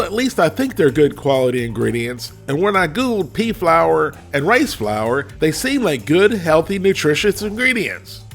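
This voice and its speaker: Congested man hosting a lively food review. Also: a little high, creaky. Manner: folksy and chatty, talks straight to viewers. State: congested, voice a little cracky. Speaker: food reviewer, channel host. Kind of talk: casual video food review.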